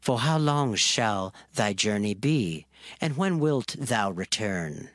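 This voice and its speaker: A slow, stern old king. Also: fairly low pitch, big pitch swings, fairly bright tone, no room sound, studio quality, full character voice. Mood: grave. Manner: slow, measured questioning. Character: stern Persian king, older man, commanding. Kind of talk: dramatized scripture reading.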